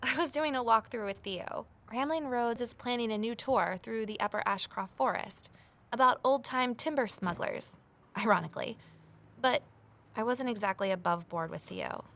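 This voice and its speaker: A woman's voice: fairly high pitch, normal speed, a bit bright. An actress playing a determined, inquisitive investigator who tells her story in an earnest, confiding way. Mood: candid. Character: Evelyn, determined, inquisitive young woman investigator and narrator. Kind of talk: first-person scripted drama narration and dialogue.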